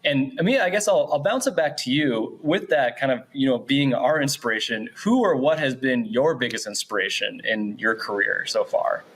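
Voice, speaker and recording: A man with a mid-pitched voice hosts a casual interview. He is effusive and affirming in how he talks, keeping the style conversational as he puts questions to guests. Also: lively intonation, moderate pace, hardly any pauses, fairly bright tone, poor audio. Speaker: interviewer, host.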